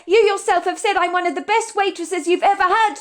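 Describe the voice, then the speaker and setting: A woman's voice: normal speed, natural voice. A woman voicing an earnest young waitress in an audiobook story, speaking in a raised, emotional, pleading voice. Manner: high, pleading, tearful delivery.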